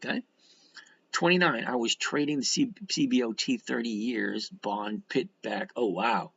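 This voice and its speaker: A man's voice: medium pitch, a little slow, gravelly, fairly bright tone, husky, muffled recording. A man of sixty chatting casually as a livestream host, reading out and answering comments in a blunt, folksy, rambling way with some mild swearing. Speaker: livestream host and stock trader.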